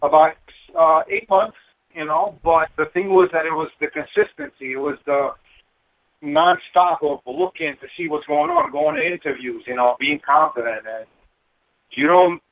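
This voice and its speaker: A man's voice: on the high side, sing-song, normal speed, creaky. A middle-aged man talking casually and earnestly as an interview guest, peppering his speech with "you know". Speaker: guest, building engineer. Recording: thin phone-line sound.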